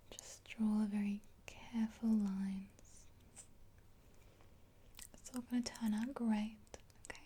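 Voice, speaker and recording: Girl in her 20s doing whispery asmr roleplay, soft n mellow, real even, up close, bit of hiss. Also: a little high. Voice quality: breathy unvoiced whisper. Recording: hissy close recording with clicks.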